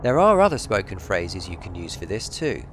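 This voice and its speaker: A man's voice: low voice, big pitch swings, normal speed, a little hiss or hum. A man narrating a scripted game tutorial in a methodical, explanatory way. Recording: faint music behind the voice. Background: faint music.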